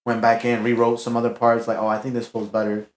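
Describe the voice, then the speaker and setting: A man's voice: medium pitch, completely flat delivery, fast talker. A young man chatting as a podcast guest in a laid-back, slangy way, full of fillers. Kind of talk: casual chat. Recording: echoey room.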